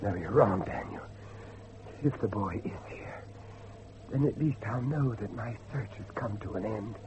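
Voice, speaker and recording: An actor playing an anguished middle-aged father and judge, intense and halting, in a horror audio drama. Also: lowish voice, natural voice. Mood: sorrowful. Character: anguished older father, a judge hunting his lost son. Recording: muffled lo-fi recording with faint noise.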